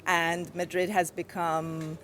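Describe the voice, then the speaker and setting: A woman from Iran whose first language is Farsi, a guest poet talking thoughtfully in a casual interview outdoors, with soft fillers. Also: on the low side, level tone, slow pace, a little hiss or hum. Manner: reflective, with soft fillers and light laughs. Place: outdoors outside a bookshop.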